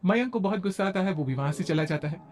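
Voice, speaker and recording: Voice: medium pitch, expressive, fast talker, darkish tone. A narrator reading a scripted family moral story.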